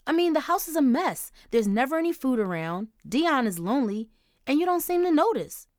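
A woman's voice: on the high side, sing-song, moderate pace, no echo, clean studio sound, voice pushed up. A woman voicing an upset, defensive fourteen-year-old girl who pleads and then lashes out angrily. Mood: angry. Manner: pleading, then angry and blurting.

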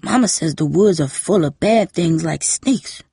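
A woman's voice: low voice, lively intonation, a little slow, creaky, warm tone, breathy edge, no room sound, studio quality, full character voice. A woman voicing a confused elderly grandmother who talks like an insistent little girl, in a storybook reading. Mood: insistent, childlike. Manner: childlike, insistent.

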